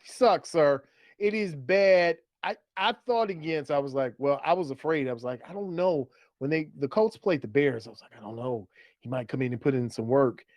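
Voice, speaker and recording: Man, fairly high voice, dry close sound, casual sports host, colloquial phrasing, repetition for emphasis. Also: moderate pace, a little mellow, dry recording. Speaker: fantasy football podcast host. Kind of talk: casual sports chat, steering segments and questions.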